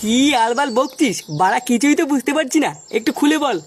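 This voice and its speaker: A woman's voice: sits high, expressive, quick pace, slight background noise. A woman voicing a hot-headed, bullying male club tough in a comic cartoon dub, crude and threatening. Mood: crude, scheming.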